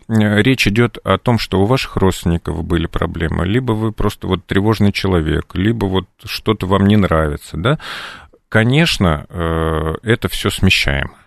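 A man in his forties, a doctor answering interview questions in a measured, hesitant way full of pauses. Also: on the low side, vocal fry, no echo, clean studio sound. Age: 40s. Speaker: doctor guest.

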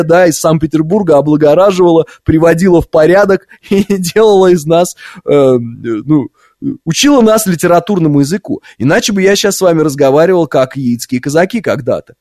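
Male talk-radio host, voice a bit high, conversational and opinionated with rhetorical questions, very dry studio sound. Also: lively intonation, normal speed, darkish tone, no echo. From Orenburg region, Russia. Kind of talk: live opinionated radio monologue. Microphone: close studio microphone.

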